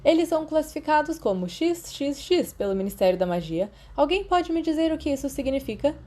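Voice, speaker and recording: Casting: hearty male creatures teacher, thirties, storybook classroom, encouraging, mellow tone with lifted pitch played by a female narrator. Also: big pitch swings, fairly quick. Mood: enthusiastic teaching. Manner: booming, encouraging classroom address. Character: hearty, enthusiastic male professor of magical creatures in his thirties. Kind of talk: audiobook character dialogue.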